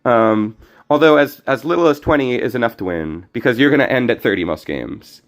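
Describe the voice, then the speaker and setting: A young man streaming a card game, talking casually and rambling with many restarts, his voice slightly hoarse. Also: mid-range voice, expressive, a little fast. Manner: rambling, self-correcting. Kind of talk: casual gameplay commentary.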